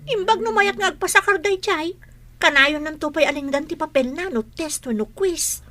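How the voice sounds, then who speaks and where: Woman acting very high, bright, pushy student. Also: moderate pace. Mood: pushy, pleading. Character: pushy, unprepared teenage student wanting to cheat. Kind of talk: amateur audio drama acting.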